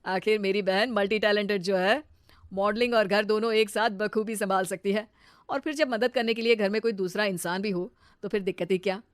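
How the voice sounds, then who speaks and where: Woman playing proud older brother, lowered pitch. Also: fairly even intonation, brisk, dry recording, clean studio sound, lightly acted. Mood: proud, approving. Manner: gentle, reassuring. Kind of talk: cartoon moral-story dialogue.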